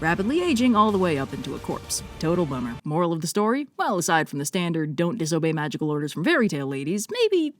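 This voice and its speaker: A woman narrates a scripted folktale with wry humor and chatty, emphatic asides. Her delivery is quick and swings widely in pitch, and her voice sits a little low for a woman. Light music plays underneath. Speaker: storyteller and narrator.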